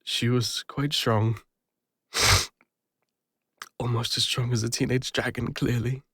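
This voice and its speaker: A man with an English accent playing a guilt-ridden, lonely retired adventurer, speaking haltingly and emotionally in an intimate fantasy audio roleplay. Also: mid-range voice, level tone, pushed and bright, slightly rough, no echo, clean studio sound, exaggerated voice. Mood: fond, nostalgic.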